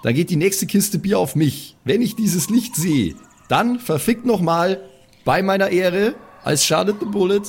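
A man's voice: high voice, lively intonation, a little slow, husky, exaggerated voice. A man playing a brash, cocky young woman from a band in an improvised audio role-play, casual and swaggering.

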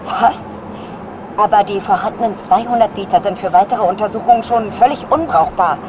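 A woman's voice: mid-range voice, brisk, close to an everyday voice. An actress playing a determined woman scientist astronaut on Mars, indignant and insistent, in a radio drama. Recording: heavy storm noise behind.

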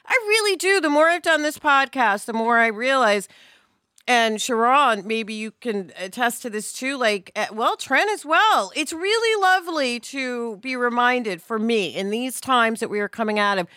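Bright, higher-pitched woman chatting. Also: lively intonation, normal speed, no room sound, studio quality. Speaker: podcast host. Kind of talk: casual podcast conversation.

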